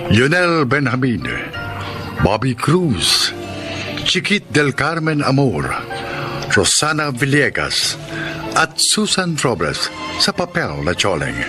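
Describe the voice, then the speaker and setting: A man with a Filipino accent announcing a radio drama as its host, in a deliberate, theatrical scripted style. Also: medium pitch, very animated, music in the background.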